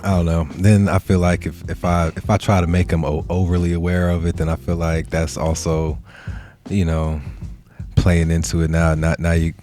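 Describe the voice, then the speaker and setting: Very deep-voiced man chatting casually. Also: little pitch movement, moderate pace, mellow. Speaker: podcast host. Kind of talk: casual storytelling chat.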